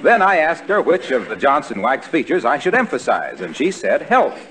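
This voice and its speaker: A man's voice: mid-range voice, wildly swooping pitch, normal speed, background noise. An actor playing an eager, rueful salesman with polished announcer phrasing in 1940s radio comedy. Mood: salesmanlike. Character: eager, rueful salesman pitching floor wax. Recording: studio audience behind 1940s radio voice.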